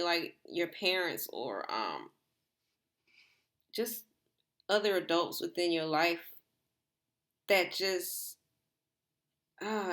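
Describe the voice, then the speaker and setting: A woman giving a rambling, casual tarot reading to her viewers, her voice slightly hoarse. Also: fairly low pitch, fairly bright tone. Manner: rambling, repeats herself, filler "like". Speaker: tarot reader.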